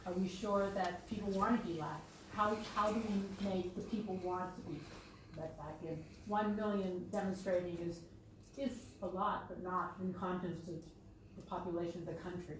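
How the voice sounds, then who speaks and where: A woman in the audience, making a measured, thoughtful comment at a meeting. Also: fairly low pitch, fairly even intonation. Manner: measured, thoughtful, some hesitation. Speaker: audience member. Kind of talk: comment from the floor. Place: meeting room at a conference fringe event. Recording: very echoey room, distant, noisy.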